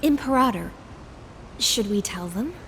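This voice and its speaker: A woman's voice: mid-range voice, animated, unhurried, fairly bright tone, slight background noise. An actress playing a loyal, earnest female knight who speaks with courtesy. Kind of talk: video game voice acting. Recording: game soundtrack behind.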